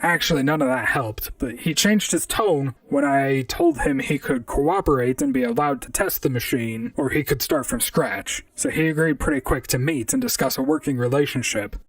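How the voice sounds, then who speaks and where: A robot gumshoe in a radio-style drama, dry-witted and chatty. The pitch swoops widely over a gravelly, creaky edge. The voice sits slightly back from the mic in a dry space. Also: very animated, moderate pace, hardly any pauses, no room sound, distant mic, rough recording. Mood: dry narrating. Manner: dry, conversational first-person noir narration. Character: wry robot private detective, talkative and unflappable. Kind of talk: audio drama acting and narration.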